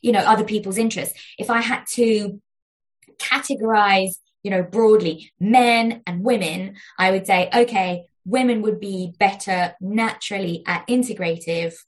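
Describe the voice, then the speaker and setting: A woman guest in an interview who talks in a thoughtful, searching way. She describes her own voice as squeaky and little, fills her speech with fillers and restarts, and often checks in with "right?". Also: moderate pace, rough recording. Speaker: guest, negotiation researcher. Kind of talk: conversational interview.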